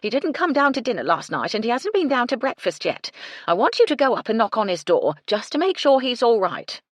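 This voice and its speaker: A woman's voice: on the low side, very animated, brisk, no echo, clean studio sound. Woman doing a stern, bossy housekeeper. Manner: commanding, sharp.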